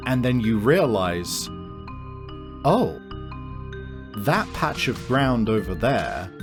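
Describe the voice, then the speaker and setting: A man acting as game master, improvising descriptive narration in a conversational way and addressing the player directly. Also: mid-range voice.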